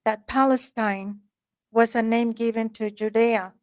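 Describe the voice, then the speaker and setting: A woman's voice: fairly high pitch, steady tone, unhurried, clean tone. A woman hosting a talk show who introduces her guest in an effusive, heartfelt way. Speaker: host.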